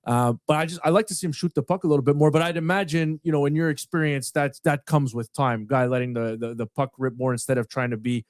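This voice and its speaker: Male podcast host, chatty, enthusiastic, rambling, slightly high voice, dry close sound. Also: steady tone, fast, hardly any pauses, no echo. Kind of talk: casual podcast chat.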